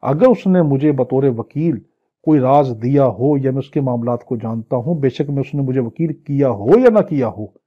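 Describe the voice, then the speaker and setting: A man's voice: mid-range voice, lively intonation, normal speed. A Pakistani man teaching law in an exam-prep lecture, reading legal text and explaining it phrase by phrase in a didactic manner. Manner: didactic, translating phrase by phrase, with acted-out examples. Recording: dull, lo-fi lecture audio.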